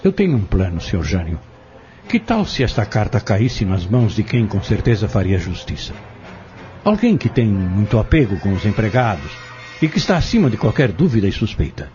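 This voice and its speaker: A man acting a composed, persuasive, scheming trader in an audio drama, speaking formally and politely with measured phrasing. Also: on the low side, sing-song, darkish tone. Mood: shrewd, persuasive. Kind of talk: dramatic radio-novela acting. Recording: muffled lo-fi audio drama recording. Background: prominent background music.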